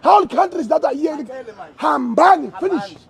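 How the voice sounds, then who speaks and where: A man's voice: very high-pitched, lively intonation, normal speed, creaky, soft background music. A man with a South African accent in a heated public confrontation, agitated and stammering over repeated words. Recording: noisy crowd around the speaker. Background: crowd of voices.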